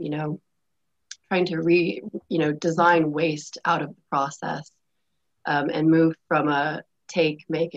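A woman with a low, gravelly, husky voice, her throat worn and a cough hanging on. She explains in a measured way, with plenty of fillers. The sound is dull and lo-fi. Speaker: sustainability panelist. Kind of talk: panel discussion.

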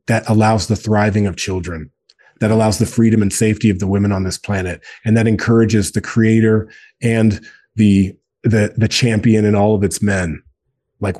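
A man's voice: on the low side, darkish tone. A man in his forties speaking earnestly in a casual podcast conversation, often repeating words for emphasis. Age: 40s. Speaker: guest, men's group co-founder.

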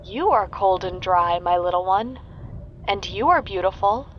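A woman voicing the earth, a wise and motherly presence who speaks calmly and slowly. The tone is soft and nurturing. It is a storybook figure read aloud. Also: medium pitch, highs cut off, a little hiss or hum, natural voice. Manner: slow, calm and nurturing.

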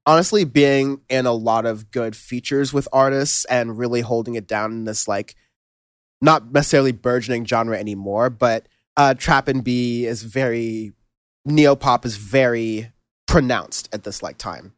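A man hosting a music podcast in casual chat, relaxed and rambling with lots of fillers and restarts. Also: medium pitch, normal speed, no room sound, studio quality. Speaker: podcast host.

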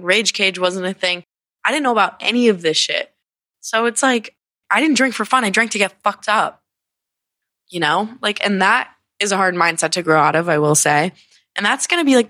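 A woman's voice: fairly low pitch, brisk, a bit bright, dry recording, clean studio sound. A young woman in her twenties from Connecticut, hosting a solo podcast in a candid, rambling way, full of fillers and swearing, and sounding sniffly and congested. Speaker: podcast host. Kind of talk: casual solo podcast talk.

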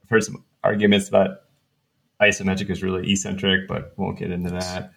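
A man hosting a podcast, chatting in a relaxed way and thinking aloud as he explains. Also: low-pitched, fairly quick, creaky. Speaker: podcast host, researcher. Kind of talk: casual podcast chat.

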